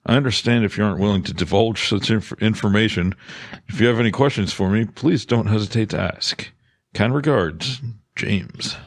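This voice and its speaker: A man with a fairly low voice works as a podcast co-host. He reads prepared announcements and listener questions, recorded close and dry with no room sound. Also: moderate pace, no echo.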